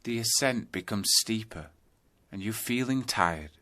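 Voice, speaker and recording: A man narrating a scripted guided yoga nidra meditation, telling a journey in measured second-person storytelling. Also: on the low side, expressive, pushed and bright.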